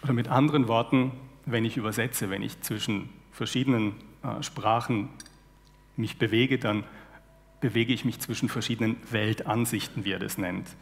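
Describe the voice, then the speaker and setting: A man's voice: mid-range voice, steady tone, normal speed, creaky, slight background noise. A man in his forties, a literary translator, explains his ideas on a panel in a thoughtful, lecture-like way with dry irony, speaking into a headset mic on a stage before an audience. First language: German. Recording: long hall reverb.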